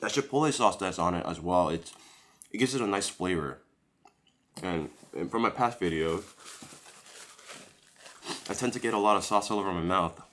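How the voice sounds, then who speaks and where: Male food vlogger, very deep voice, congested from a cold, chatty eating commentary, camera mic at home. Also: lively intonation, moderate pace, long pauses, fairly bright tone. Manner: chatty, rambling, leisurely tasting commentary. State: stuffy, recovering from a cold. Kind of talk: casual food review while eating. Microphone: camera-mounted shotgun mic.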